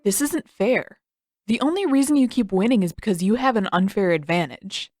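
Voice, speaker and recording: A woman's voice: medium pitch, lively intonation, normal speed, darkish tone, dry recording, clean studio sound, close to an everyday voice. A woman voicing a cocky, competitive teenage boy in a storybook, boastful and griping. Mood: indignant, boastful.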